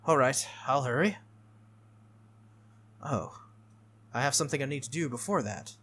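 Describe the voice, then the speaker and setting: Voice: medium pitch, lively intonation, moderate pace, a bit bright, breathy edge. A game reader voicing an earnest, easily flustered teenage schoolboy from an anime visual novel, apologetic and exclaiming. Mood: puzzled.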